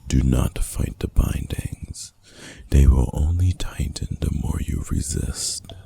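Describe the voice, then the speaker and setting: A man acts out a possessive, imperious lord of a hidden city for a fantasy ASMR roleplay. His voice is dark and heavily creaky, dropping into a half-whisper with archaic, measured formality. There is faint noise behind him. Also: very deep voice, full character voice. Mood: calm, commanding. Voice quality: gravelly, hushed, half-whispered. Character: stern, possessive masked ruler of an underground city.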